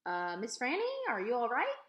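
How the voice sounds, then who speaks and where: A woman's voice: fairly high pitch, big pitch swings, moderate pace, a bit bright. A woman voicing a polite, earnest young girl in a children's storybook. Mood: concerned, insistent. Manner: polite, eager, reassuring. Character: earnest, polite young girl who loves her dog. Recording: echoey, roomy sound.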